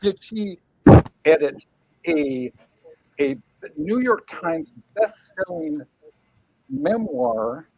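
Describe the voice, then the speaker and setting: A man's voice: on the high side, big pitch swings, very slow, long pauses, darkish tone, slightly rough, dry recording, rough recording. A middle-aged man, a guest writer on a video call, ranting angrily with swearing, repeated words and stumbling restarts. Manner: angry, profane, repeats himself, stumbling restarts. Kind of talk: angry livestream rant.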